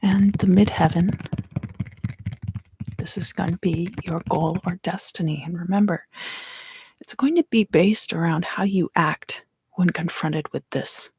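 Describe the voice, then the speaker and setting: A woman in her thirties gives an ASMR tarot reading in hushed, unhurried, deliberate phrases, close to the microphone. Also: lowish voice, noisy background. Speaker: tarot reader and ASMR host. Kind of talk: ASMR tarot reading, whispered and softly spoken.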